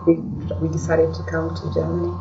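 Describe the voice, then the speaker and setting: A woman's voice: low voice, completely flat delivery, fairly quick, distant mic, noisy background. A woman from Kenya with a Kenyan accent, answering interview questions casually and a little hesitantly, recorded away from the microphone with a dull sound. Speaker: podcast guest, blogger and podcaster.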